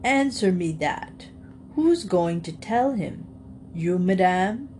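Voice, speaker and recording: A woman voicing an ancient male alligator, pompous, drawling and calmly menacing in a grandly polite way. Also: fairly low pitch, slow, a little hiss or hum. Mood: smug, menacing.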